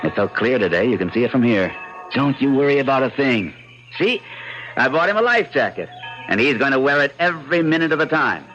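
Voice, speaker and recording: A man's voice: mid-range voice, very animated, fairly quick, a bit bright. An actor playing a rational, dismissive but reassuring husband and father in an old-time radio drama. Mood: breezy, reassuring. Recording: dull lo-fi radio recording, faint music.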